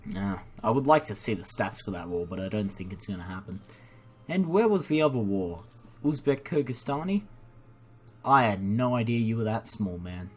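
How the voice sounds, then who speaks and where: A man's voice: fairly low pitch, expressive, moderate pace, darkish tone. A young man, a native English speaker, giving rambling, self-deprecating and apologetic casual commentary as the host of a gaming video, recorded indoors at a computer. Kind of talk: casual unscripted game commentary.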